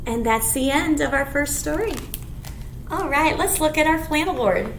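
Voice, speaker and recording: A woman presents a children's storytime in a very high, sing-song voice. Her pitch swoops widely and she takes her time, phrasing simply for little listeners. The room sounds echoey. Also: animated, moderate pace. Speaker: children's librarian hosting storytime. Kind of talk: children's storytime read-aloud with songs and rhymes. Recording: echoey room, clear background noise.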